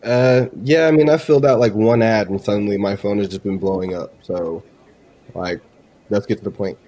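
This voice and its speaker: A man with a fairly low, very mellow voice. He talks informally and conversationally, like a presenter casually walking viewers through a demo. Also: steady tone, normal speed. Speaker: presenter demonstrating AI voice assistants. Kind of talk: casual product demo talk.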